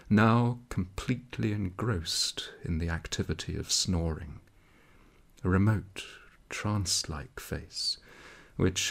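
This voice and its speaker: A man's voice: deep voice, creaky. A man reading a literary story aloud in a dramatic manner. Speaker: audiobook reader.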